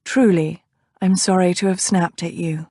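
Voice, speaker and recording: A woman reading an audiobook, voicing a composed, restrained young lady in a measured tone. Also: on the low side, steady tone, warm tone, no room sound, studio quality.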